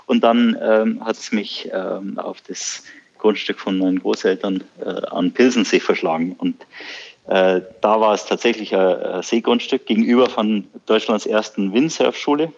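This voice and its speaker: A Bavarian man with a fairly low voice chats about his work, pausing often and filling gaps with hesitations. The sound is a little dull and lo-fi, like a remote connection. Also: creaky. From Bavaria, Germany. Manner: relaxed, hesitant with many fillers. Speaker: podcast guest, surf school owner. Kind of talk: casual podcast interview.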